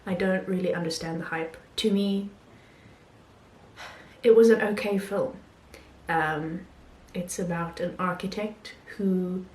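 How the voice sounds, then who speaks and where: A woman talking casually about films she has watched, pausing often with ums and restarting her sentences. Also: on the low side, a little mellow, reverberant. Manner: hesitant, many ums and restarts. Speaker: film vlogger. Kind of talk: casual film review talk.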